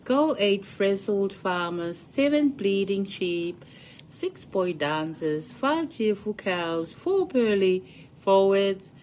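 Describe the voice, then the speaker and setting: A woman's voice: mid-range voice, sing-song, slow pace, a little mellow, a little hiss or hum, rough recording. A woman reading a picture book aloud to children in a sing-song storybook cadence. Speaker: storytime reader.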